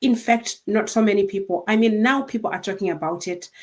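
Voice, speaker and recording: A woman's voice: medium pitch, fairly quick. A woman hosting an interview, speaking in an earnest, wordy, conversational way. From Africa. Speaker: interview host.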